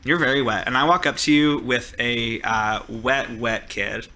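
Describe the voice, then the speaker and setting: A man's voice: mid-range voice, steady tone, a little slow, sharp tone. A man casually improvising narration in a tabletop roleplaying game.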